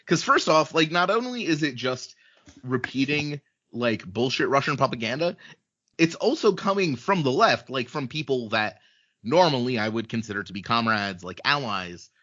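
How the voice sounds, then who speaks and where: Blunt heated man, bright lo-fi sound. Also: mid-range voice, big pitch swings, moderate pace. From Ukraine. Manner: blunt and heated, frequent swearing and filler words. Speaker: podcast guest, journalist. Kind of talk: casual podcast conversation.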